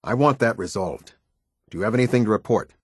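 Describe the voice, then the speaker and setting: A man voicing a stern, disciplined military captain in a video game, with a husky voice and a clipped, commanding, formal delivery. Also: on the low side, quick pace, dry recording, clean studio sound. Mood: brisk, authoritative. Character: stern male military captain, disciplined, exacting, loyal to his order.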